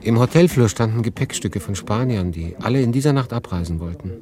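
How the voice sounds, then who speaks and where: An actor playing a sober, reflective refugee man who narrates his own story in a measured, literary radio drama style. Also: on the low side, expressive, a crowd in the background, close to an everyday voice. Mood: restrained. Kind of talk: scripted first-person radio drama narration.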